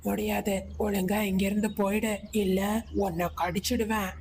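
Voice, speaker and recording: A woman's voice: medium pitch, level tone, moderate pace, creaky, a little mellow, slight background noise, close to an everyday voice. A woman voicing a mean, selfish snake in a cartoon moral story, speaking threateningly. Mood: menacing. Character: mean, dangerous snake guarding its berries.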